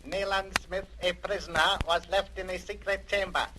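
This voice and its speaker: A man's voice: high voice, fairly even intonation, normal speed, close to an everyday voice. An actor playing a dutiful male henchman in a 1930s radio drama, reporting to his master in formal, deferential, clipped phrases. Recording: noisy, crackly 1930s radio recording.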